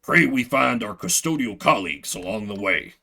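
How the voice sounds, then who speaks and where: A man acts out a grave, self-important video game janitor. The voice is bright and forceful and moves widely in pitch. He speaks formally, treating humble maintenance work as a solemn military commission. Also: animated, moderate pace, vocal fry, exaggerated voice. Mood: solemn, commanding. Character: solemn, grandiose adult male janitor devoted to maintenance.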